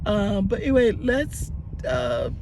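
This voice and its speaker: A man in his sixties, hoarse and raspy from tonsillitis, rambling earnestly through a daily gratitude vlog. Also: sits high, very animated, slow pace, background noise. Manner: rambling and earnest, many fillers and restarts. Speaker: gratitude vlogger. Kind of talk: casual spoken faith vlog.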